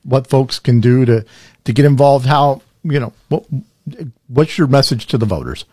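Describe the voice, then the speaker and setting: A man hosting a local podcast, speaking in a relaxed way and sharing his view as an employer. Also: medium pitch, moderate pace, breathy edge, no room sound. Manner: relaxed, brief affirmations. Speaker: podcast host. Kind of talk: podcast interview.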